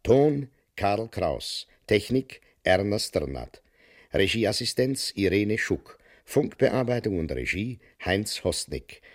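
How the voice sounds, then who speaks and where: A man's voice: lowish voice, very animated, slow, no echo, clean studio sound. A man reading scripted credits as a radio announcer. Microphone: close studio microphone.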